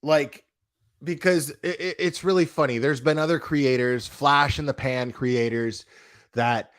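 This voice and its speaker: A man's voice: medium pitch, a bit bright. A man ranting in a casual reaction video, blunt and profane, with lots of restarts and slangy fillers. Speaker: online commentator.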